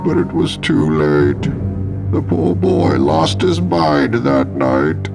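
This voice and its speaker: A man's voice: low voice, huge pitch swings, slow pace, vocal fry, over music, exaggerated voice. A man playing a menacing masked villain who tells a spooky story in a theatrical, pompous, scolding way. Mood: ominous.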